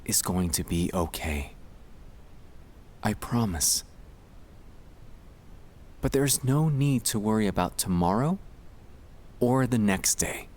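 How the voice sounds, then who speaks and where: Slow, gentle low-voiced man, soothing ASMR. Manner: unhurried, with pauses between short phrases. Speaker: ASMR sleep-aid performer. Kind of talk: gentle ASMR guided relaxation.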